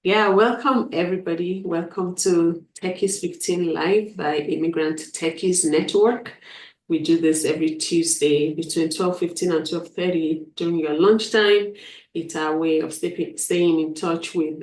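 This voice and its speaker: A woman's voice: low voice, steady tone, unhurried, a little mellow. A woman hosting a live stream interview, enthusiastic, often repeating words as she frames her questions over a video call. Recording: roomy, distant from mic.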